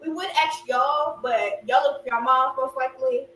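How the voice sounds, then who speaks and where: A woman's voice: sits high, moderate pace, pushed and bright. A young woman co-hosting a casual podcast chat, inquisitive and teasing, reading out listener questions. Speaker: podcast co-host. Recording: roomy echo, far from mic, faint hum.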